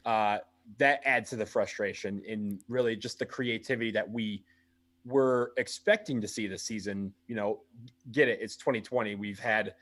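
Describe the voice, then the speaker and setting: A man talking frankly as a podcast guest and fan, in a conversational way. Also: fairly low pitch. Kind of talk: casual chat.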